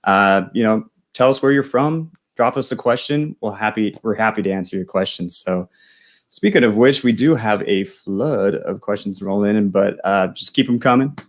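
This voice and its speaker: Low-voiced American man presenting casually. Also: moderate pace. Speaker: product specialist and host.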